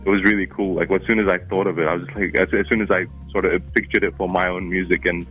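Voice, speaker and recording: A man's voice: low voice, fairly even intonation, quick pace, slightly rough, lo-fi sound, faint music behind. A man with an accent mixing Arabic and New Zealand English, a musician talking casually in an interview, rambling with many fillers and restarts. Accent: mix of Arabic and New Zealand. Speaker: musician guest.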